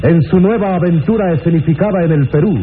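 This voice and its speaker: A man's voice: a little high, fast talker. A man announcing a radio serial and its cast in a dramatic 1960s style. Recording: tinny old radio recording with loud music.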